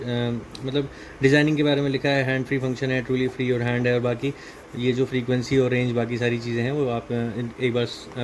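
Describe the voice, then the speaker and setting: A man's voice: mid-range voice, a little mellow. Male product reviewer, Indian accent, level delivery, explanatory and casual, noisy recording. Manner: explanatory, mixes in English technical terms. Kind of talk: casual unboxing and product walkthrough.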